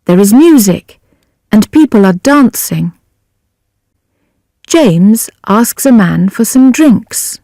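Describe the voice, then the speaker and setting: Very slow, mellow woman narrator. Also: medium pitch, lively intonation, dry recording, clean studio sound. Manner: slow, deliberate, carefully enunciated. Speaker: audiobook narrator. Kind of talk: scripted narration of a graded language-learner story.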